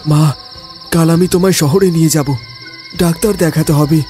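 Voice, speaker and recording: A man voicing a high-pitched young village cart driver. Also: fairly even intonation, moderate pace, mellow, close to an everyday voice. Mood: earnest, worried. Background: music, insect sounds.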